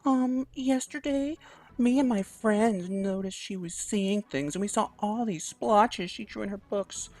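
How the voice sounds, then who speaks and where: A timid schoolgirl, hesitant and high-pitched, as a man puts her on in a game reading. She speaks slowly and haltingly, and faint music plays behind her. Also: a little mellow. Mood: nervous. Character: timid schoolgirl tattling, nervous.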